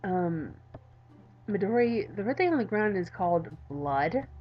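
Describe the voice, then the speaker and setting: A woman voicing an exasperated adult male game developer in a visual novel, deadpan and condescending. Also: mid-range voice, a little slow, muffled recording, soft background music.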